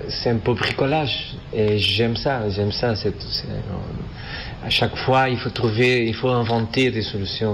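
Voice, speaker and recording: A man from Portugal speaking with a Portuguese accent as an interview guest, halting and searching for words in a non-native language. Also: fairly low pitch. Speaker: interview guest, animation filmmaker. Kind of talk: casual interview answers. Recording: festival interview with background noise.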